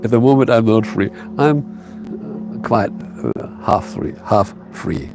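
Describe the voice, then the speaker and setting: Man, English, slow, rough-edged voice; halting casual streamer talk from a phone outdoors. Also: lowish voice, music in the background, low-quality recording. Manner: hesitant, halting, many fillers and restarts. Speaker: live streamer. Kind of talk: casual live stream chat. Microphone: phone on a tripod.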